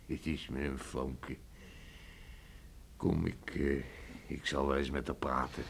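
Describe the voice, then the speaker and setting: An actor playing an awkward older village father in a Dutch radio play, gravelly and halting, given to chuckles. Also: rumbling low, little pitch movement, exaggerated voice. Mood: hesitant. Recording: noisy old radio drama recording.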